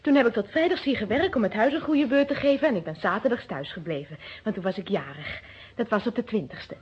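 A woman's voice: on the high side, animated, fast, slightly rough. A young woman playing a wary, flustered housekeeper in a radio drama, hesitant and defensive but chatty. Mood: nervous. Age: young adult. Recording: dull muffled old radio drama recording.

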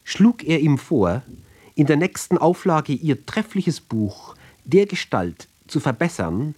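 A man giving a literary reading of narrative poems, with deliberate, measured phrasing and pauses between short fragments. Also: on the high side, big pitch swings, a little mellow, breathy edge.

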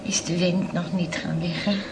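A woman's voice: on the low side, level tone, normal speed, background noise, close to an everyday voice. A woman playing an anxious, careworn old fisherman's mother in a radio play, plaintive and sighing. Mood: anxious, frail. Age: older.

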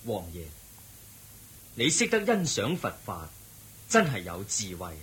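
An actor playing the Buddha, a serene sage who preaches in a slow, measured, solemn way in a radio drama. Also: male voice, fairly low pitch, wildly swooping pitch, fairly bright tone, breathy edge, heavily acted. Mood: gentle. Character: the Buddha, serene male sage teaching a crowd. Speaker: religious teacher in a radio drama. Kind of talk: Cantonese radio drama acting.

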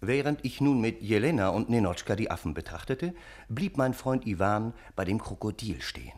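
An actor playing a wry family friend who narrates the story in a radio play. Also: male voice, on the low side, big pitch swings, moderate pace, a little mellow. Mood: amused, reminiscing.

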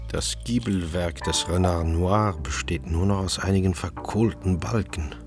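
An actor playing an intense, brooding chef turned amateur sleuth, telling his story with expressive drama in a radio crime play. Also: deep voice. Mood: brooding, urgent.

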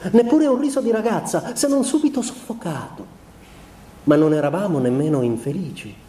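A sensitive young husband in a radio drama confession. His voice sits high for a man, with a soft, muted tone. He phrases his literary monologue slowly and inwardly, with restrained sadness, in an echoey room. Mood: melancholy, subdued. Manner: measured, introspective, deliberate phrasing with pauses. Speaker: stage actor. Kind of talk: literary dramatic monologue, letter read aloud. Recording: echoey room with faint background noise.

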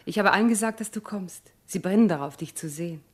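An actress in a German radio play playing an affectionate, fussing wife and young mother with a husky voice. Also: mid-range voice, fast talker, lightly acted. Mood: joyful, flustered.

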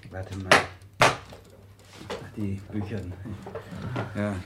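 A man's voice: low-pitched, completely flat delivery, extremely slow. An actor playing a puzzled, dry, somewhat hesitant male university lecturer in a radio play. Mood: wry. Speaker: visiting lecturer. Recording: noisy radio drama recording.

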